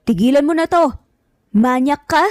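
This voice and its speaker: A woman's voice: high voice, lively intonation, moderate pace, darkish tone, no room sound, clean studio sound, voice pushed up. A woman voicing a frightened, tearful young woman who pleads insistently in an audio romance drama. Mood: desperate crying out. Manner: pleading, emphatic.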